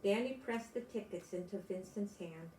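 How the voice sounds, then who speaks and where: An older woman, a grandmother storyteller, reading aloud and chatting to young children in gentle, simple phrases. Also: mid-range voice, moderate pace. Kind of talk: children's read-aloud and chat to young listeners. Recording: echoey room with background noise.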